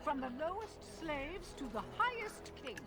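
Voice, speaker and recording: Child reciter for a fantasy game: high-pitched, lively, declamatory, touchy. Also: female voice, a little slow, faint music behind, heavily acted. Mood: solemn reciting.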